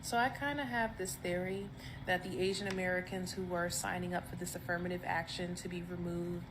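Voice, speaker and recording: A woman's voice: on the low side, steady tone, fairly quick. A woman sharing a theory in a casual opinion video, thoughtful and hesitant with frequent ums. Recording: clip with background noise.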